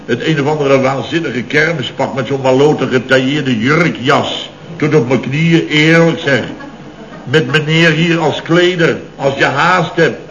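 An actor in a radio comedy playing an indignant, blustering boss of fifty who grumbles and exclaims, repeating himself. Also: male voice, mid-range voice, measured pace, fairly bright tone, natural voice. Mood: scandalised. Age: 50s. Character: blustering, indignant fifty-year-old male building firm boss. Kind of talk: 1970s radio comedy acting. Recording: muffled, noisy old radio recording.

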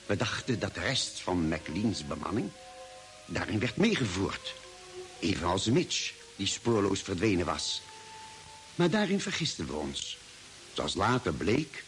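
A man as an earnest narrator of an old radio space adventure, reading scripted narration in a measured, dramatic way. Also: low voice, very animated, fairly bright tone, soft background music, exaggerated voice.

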